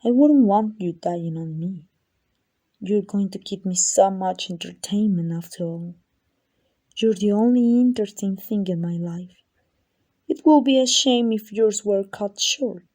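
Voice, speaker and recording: Woman doing a breathy mellow vampire lady asmr, ancient n arrogant, possessive stalker type, talks down to you, teasing. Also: mid-range voice, a little slow, lightly acted. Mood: eager, possessive. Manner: condescending, teasing, dropping into whispers. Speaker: amateur voice actor. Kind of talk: ASMR roleplay monologue.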